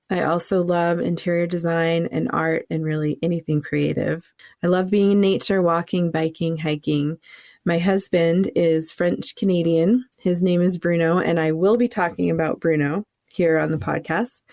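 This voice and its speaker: A middle-aged woman from New England, co-hosting a podcast in an earnest, warm-hearted, faith-centered chat, laughing easily. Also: fairly low pitch, steady tone, normal speed, dry recording, studio quality. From New England, USA. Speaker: podcast co-host.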